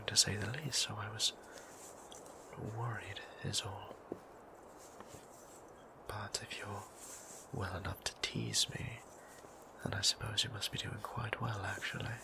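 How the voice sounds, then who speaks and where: A low, whispering vampire priest played by a man, courteous and fond, with old-fashioned formal manners. He speaks slowly and intimately, his breath edged with gravelly fry, as in a close audio roleplay. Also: level tone, rough recording. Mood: bashful concern, then fond, pleased. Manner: formal, old-fashioned, polite, measured phrasing.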